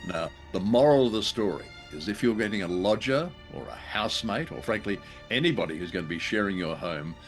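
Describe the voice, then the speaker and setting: Low-voiced man, halting and conversational. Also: expressive, faint music behind. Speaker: podcast co-host. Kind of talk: casual discussion of old radio drama.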